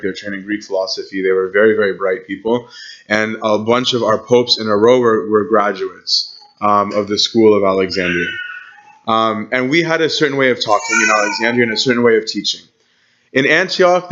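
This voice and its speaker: A man's voice: lowish voice, a bit bright, muffled recording. Conversational man teaching church history. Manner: conversational, frequent "okay?" and "right?" check-ins. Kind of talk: informal church history lecture.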